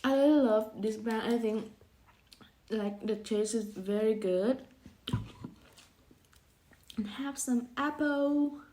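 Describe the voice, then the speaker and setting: A woman's voice: fairly high pitch, takes it slowly, long pauses, dark tone, slight background noise. A woman vlogging casually about food, speaking in simple, hesitant English. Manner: hesitant, simple non-native English phrasing. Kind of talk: casual food vlog to camera.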